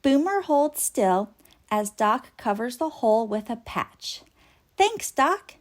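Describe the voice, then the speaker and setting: A woman narrates a children's storybook in a fairly high voice. Her reading is very expressive and lively in pitch, and she takes it slowly so small listeners can follow. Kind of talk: expressive read-aloud narration for young children.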